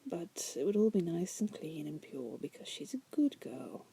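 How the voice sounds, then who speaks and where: A woman acts out a seductive demoness locked in a cage, in an intimate ASMR roleplay. The voice is very dark and heavily breathy, slipping often into a whisper. The manner is wry, patient and teasing, musing aloud as bargains are dangled. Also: lowish voice, very animated, moderate pace, exaggerated voice. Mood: dry, amused. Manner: teasing, musing, drawling asides with soft chuckles. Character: wry, seductive female demon prisoner, patient deal-maker.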